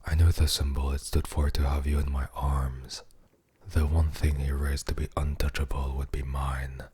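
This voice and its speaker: A man acts out a menacing mafia boss in an ASMR roleplay, with an extremely low, husky and breathy voice. He murmurs in a narrow, controlled range, possessive and seductive, talking down to his captive with intimate condescension. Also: flat delivery, normal speed, mellow, exaggerated voice. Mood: cold, taunting.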